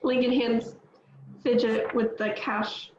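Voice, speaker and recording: Woman reading stage directions, kinda high voice, echoey roomy lo-fi sound. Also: slow, background noise. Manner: plain, steady reading with small slips. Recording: echoey, dull lo-fi audio.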